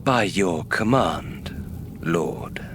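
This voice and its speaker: A man playing an obsequious henchman in a fan audio drama, formal and deferential as he serves a dark lord. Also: low-pitched, animated, very slow, noisy background, exaggerated voice. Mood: obedient.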